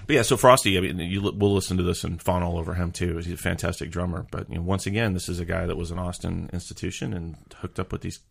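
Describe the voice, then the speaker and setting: A man co-hosting a podcast, chatting in a relaxed way and reminiscing about music clubs he played. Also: low-pitched, fast, gravelly, hardly any pauses, husky. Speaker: podcast co-host. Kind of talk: casual chat.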